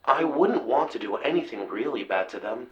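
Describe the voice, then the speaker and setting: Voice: medium pitch, animated, normal speed, crowd noise, close to an everyday voice. An actor playing a polite, mischievous male ghost in an audio drama, mild and sly, with a muffled sound. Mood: sly, playful.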